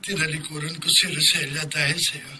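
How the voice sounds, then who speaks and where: A man's voice: fairly high pitch, flat delivery, a little fast, sharp tone, slight background noise. An actor in a radio drama playing a guilt-ridden young man who talks in a pained, remorseful way.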